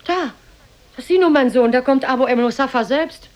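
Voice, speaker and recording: A woman's voice: sits high, moderate pace, lightly acted. A woman playing a devout mother who urges her grown son on, emphatically, in a 1950s radio play. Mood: excited. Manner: emphatic, exhorting.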